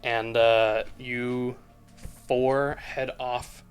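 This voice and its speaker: A man narrating a tabletop roleplaying game as game master, relaxed and filler-heavy. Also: medium pitch, extremely slow, clear, resonant tone, faint music behind.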